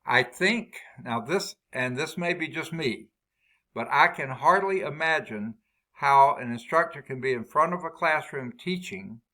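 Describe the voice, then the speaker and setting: Man, loud and talkative, explains at length, bright tone, laptop mic on a video call. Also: fairly high pitch, expressive, unhurried. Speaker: math department head leading a meeting. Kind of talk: casual staff meeting over video call. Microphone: laptop built-in mic on a video call.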